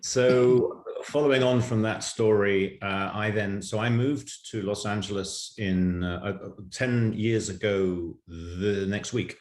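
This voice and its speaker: A man's voice: fairly low pitch, steady tone, slow, hardly any pauses. A man recounting events casually as an interview guest, in a hesitant, stop-and-start way. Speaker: podcast guest, writer and producer. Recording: roomy, echoing sound.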